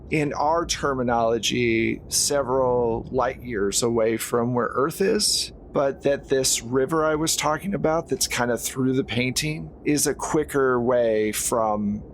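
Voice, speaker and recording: A man with a mid-pitched voice runs a tabletop horror game as game master. He explains the scene conversationally, with clear noise behind him. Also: takes it slowly, few pauses. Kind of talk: improvised tabletop game narration.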